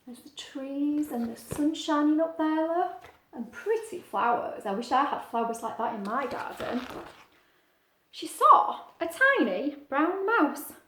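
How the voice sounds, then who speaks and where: Animated woman reading a children's story. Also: high voice, unhurried, roomy sound, slight background noise, rough recording. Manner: animated, singsong, asking little questions. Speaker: teacher reading a picture book to children. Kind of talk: expressive children's story reading with chatty asides.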